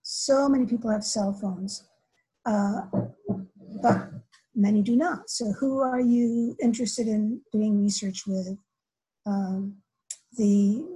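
An older woman with a very breathy, soft voice and a mellow, dark tone talks as a scholar in an interview. She stops and restarts often, filling gaps with hesitations as she thinks aloud. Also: a little high, low-quality recording. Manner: halting, many restarts and fillers. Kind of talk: academic interview.

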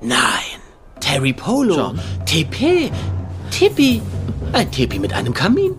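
A man's voice: on the high side, huge pitch swings, unhurried, music in the background. An actor playing a cocky, playful young man who poses as a psychic detective, talking in a flippant, theatrical way in a dubbed comedy-drama. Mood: theatrical, excited.

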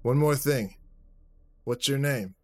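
A man's voice: mid-range voice, takes it slowly, a little mellow, pitched above the performer's own voice, natural voice. A man voicing a cocky, sarcastic young American fighter who taunts his opponent, in an audiobook. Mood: curious.

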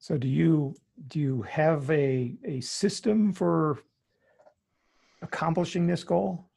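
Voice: medium pitch, fairly even intonation, slow pace, gravelly, dark tone, slightly rough. A podcast host asking short, casual questions in an interview.

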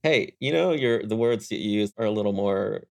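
A young adult man, a guest engineer, chatting in a relaxed interview and telling stories with frequent restarts and chuckles. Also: lowish voice, a little fast, gravelly. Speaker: guest, engineer and startup founder. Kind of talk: casual interview conversation.

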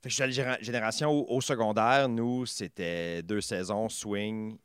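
A man's voice: fairly low pitch, moderate pace, smooth, dry recording, clean studio sound. A man in his thirties with a Franco-Ontarian French accent, a podcast guest chatting casually, loose and hesitant with many fillers and restarts. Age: 30s. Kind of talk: casual conversation.

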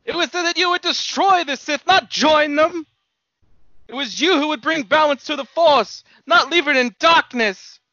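A righteous old-school warrior master, voiced by a woman, stern and full of grief. The delivery is solemn and theatrical, swinging widely in pitch with a forceful edge, recorded very dry. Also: big pitch swings, normal speed, dry recording, highs cut off. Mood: anguished. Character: stern, grieving male warrior mentor, righteous.

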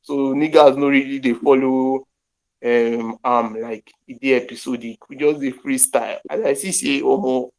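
A young Nigerian man hosts a podcast from an internet call, his voice carrying a gravelly, fried edge at an ordinary middle pitch. He chats loosely in pidgin and English, dropping Yoruba phrases and joking along. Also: fairly even intonation, normal speed, darkish tone, low-quality recording. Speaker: podcast host. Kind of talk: casual chat in pidgin and English.

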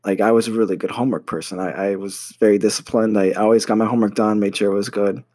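A man's voice: on the low side, fairly even intonation, fast talker, dark tone, no room sound, studio quality. A young American man telling a personal story about his studies in a casual, hesitant way, full of fillers and restarts. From United States. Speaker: university student.

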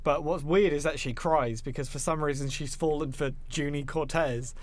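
Higher-voiced man, irreverent podcast host. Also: fairly even intonation, normal speed. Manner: irreverent, sweary. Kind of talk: casual film recap and chat.

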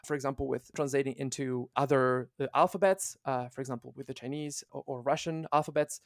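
A man, a guest on a podcast, explaining his work in a casual, halting way with many restarts. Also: mid-range voice, steady tone, no room sound, studio quality. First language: Italian. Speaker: guest, translation manager.

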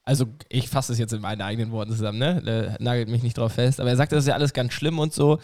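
A man's voice: mid-range voice, steady tone, very fast, darkish tone. A man with a mid-range voice chatting casually on a podcast. He rambles, hedges and fumbles for words as he goes. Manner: rambling, hesitant, full of fillers. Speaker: podcast co-host. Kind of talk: casual chat.

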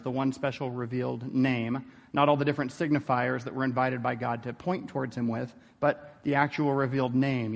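A man's voice: medium pitch, creaky, long reverb tail. A man giving an informal theology lecture in a conversational way, often checking in with rhetorical questions.

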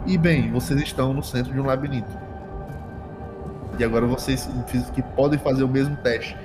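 A Brazilian man improvising tabletop game narration as game master, halting and often repeating his phrases. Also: medium pitch, steady tone. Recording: long hall reverb, music behind.

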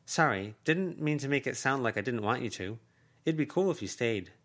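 A man's voice: medium pitch, animated, moderate pace, fairly bright tone, voice pushed up. A man voicing a guarded teenage girl who speaks hesitantly and dryly.

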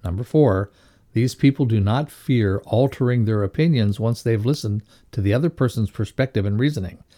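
A man reading a motivational lesson aloud as a co-host, in a measured, instructive way. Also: on the low side, dark tone.

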